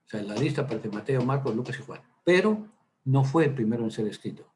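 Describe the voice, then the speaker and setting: A man's voice: medium pitch, expressive, fast, darkish tone, a little hiss or hum. A man, a priest, teaching a Bible workshop in a chatty, digressive way, with many hesitant fillers and side stories. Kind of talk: informal Bible lecture.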